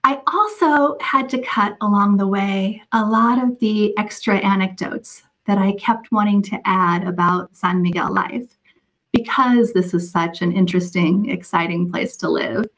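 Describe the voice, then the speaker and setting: A woman novelist speaking as a podcast guest, answering conversationally in a measured, articulate manner. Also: medium pitch, fairly bright tone, poor audio. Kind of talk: conversational anecdotal interview answers.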